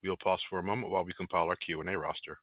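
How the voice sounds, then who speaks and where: A man's voice: low-pitched, fairly even intonation, rapid-fire, no echo, muffled recording. A man working as a conference call operator, giving scripted instructions in a formal, routine way.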